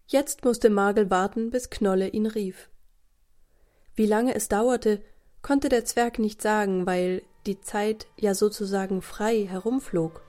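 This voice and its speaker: A woman's voice: mid-range voice, steady tone, slow pace, a little mellow. A woman narrating a children's radio play as a scripted story.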